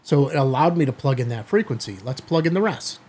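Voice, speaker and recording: A middle-aged man hosting a hobby video, giving a product demonstration to camera in a husky voice. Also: medium pitch, lively intonation, fairly quick, heavy background noise. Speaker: host of a ham radio video channel. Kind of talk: product test demo to camera. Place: small indoor studio room.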